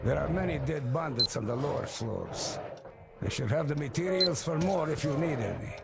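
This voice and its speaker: An easygoing survivor in a post-war video game. His fairly high voice swings widely in pitch and has a gravelly grain. He is friendly and chatty. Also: lively intonation, normal speed, heavy background noise. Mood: calm, helpful. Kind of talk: video game cutscene acting.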